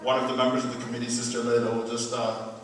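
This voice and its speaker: A man's voice: medium pitch, completely flat delivery, quick pace. Man hosting in a very echoey hall. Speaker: event host introducing speakers. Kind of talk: informal announcements to a gathering. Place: large indoor hall at an Islamic center. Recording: echoey hall, distant mic, faint noise.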